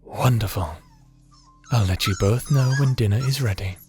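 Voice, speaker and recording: A man playing a gentle, fatherly creator god with a hidden weariness in a scripted audio roleplay, soft-spoken and deliberate, sometimes slipping into a whisper. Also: on the low side, over music, lightly acted. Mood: tender, doting. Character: gentle fatherly creator god, tender mentor, secretly haunted and weary.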